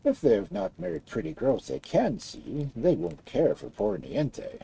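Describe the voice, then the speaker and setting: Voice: mid-range voice, very animated, a little slow, vocal fry, dark tone, slightly rough, exaggerated voice. A storyteller voicing a doubtful, gloomy fairy-tale king in a blunt, sceptical manner.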